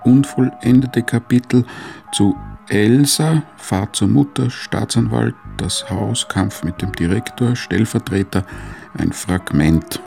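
A man reading scripted radio announcements and credits in a measured, sober manner. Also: on the low side, vocal fry, darkish tone, faint music behind.

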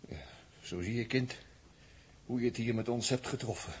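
An actor playing a wry, teasing and cheerfully bossy spaceship crewman in a 1960s radio drama, with a husky, rough voice. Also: medium pitch, moderate pace, darkish tone, slight background noise, natural voice.

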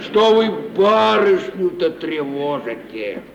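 An actor playing a grumbling, folksy old manservant who cautions and admonishes, in 1950s Russian radio theatre style. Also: male voice, sits high, sing-song, takes it slowly, vocal fry, a crowd in the background, heavily acted. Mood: cautioning, sly. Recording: muffled, hissy 1950 radio recording, roomy, distant.

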